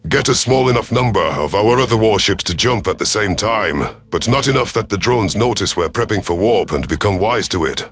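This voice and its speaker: A man's voice: lowish voice, lively intonation, fairly quick, hardly any pauses, fairly bright tone. A man voicing a battle-hardened alien predator commander who gives formal, authoritative orders in a sci-fi audio story. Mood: stern, commanding. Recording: music behind the voice.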